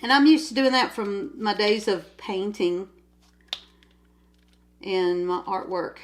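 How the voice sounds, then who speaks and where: Slow-talking nasal grandmother. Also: mid-range voice. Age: older. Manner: rambling, chatty, easily sidetracked. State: nasal from a cold. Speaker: crafting vlogger. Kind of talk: casual chat while diamond painting. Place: at home.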